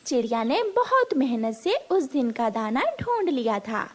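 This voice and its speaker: Woman narrator for a kids' cartoon, high, lively, gentle storybook telling. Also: unhurried.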